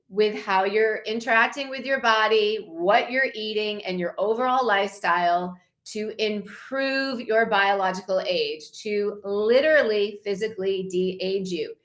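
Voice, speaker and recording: A woman in her fifties presenting slides to a challenge group as a wellness coach, talking in an enthusiastic, promotional way. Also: medium pitch. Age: 50s. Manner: enthusiastic, promotional, slow and deliberate.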